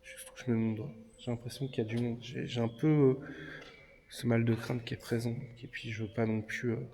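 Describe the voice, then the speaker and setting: A man's voice: medium pitch, fairly even intonation, brisk. A man exploring an abandoned industrial building, talking hesitantly with many fillers and calling out to spirits. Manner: hesitant, many fillers, drops to hushed murmurs. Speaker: paranormal investigator. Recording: echoey hall with faint noise.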